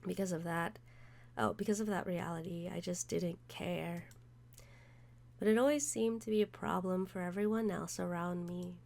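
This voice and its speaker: A woman's voice: lowish voice, normal speed, vocal fry. A congested woman reading aloud, in her own plain voice, the lines of a detached young man who cannot feel pain. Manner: reads game text aloud flatly.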